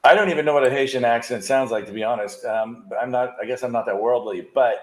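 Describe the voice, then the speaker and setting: A man's voice: medium pitch, fast talker. A man co-hosting a casual, unscripted political discussion, with a wry way of slipping in quips. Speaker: co-host of a political discussion show. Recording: roomy, echoey sound.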